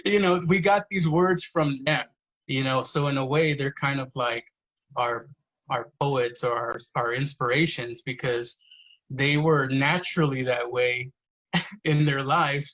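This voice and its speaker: A man's voice: mid-range voice, steady tone, a little slow, a bit bright, highs cut off. A man, a poet guest on a podcast, telling family stories earnestly, swearing freely and saying "you know" a lot. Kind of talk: casual podcast conversation.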